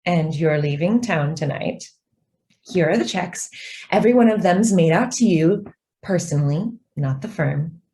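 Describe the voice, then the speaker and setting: Devoted young secretary in a radio play, acted by a woman; soft-edged mellow tone, earnest, idealistic, lingering on key words. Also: fairly low pitch, expressive, a little slow. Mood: dutiful, pressing. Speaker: actor. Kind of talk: radio drama acting.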